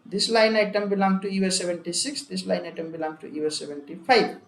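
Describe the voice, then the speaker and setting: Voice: mid-range voice, fast. Echoey Indian-accented instructor, sex unclear, teaching step by step. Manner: repetitive, emphatic step-by-step explaining with frequent check words. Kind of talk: software and accounting tutorial, explaining a worked example. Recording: echoey room with faint background noise.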